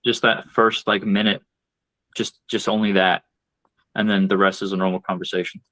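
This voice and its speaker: A man's voice: lowish voice, level tone, moderate pace, sharp tone, dry recording, poor audio. A man guest on a podcast, explaining ideas step by step in casual talk with frequent fillers and asides. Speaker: podcast guest, marketing strategist. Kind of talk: casual advice-giving conversation.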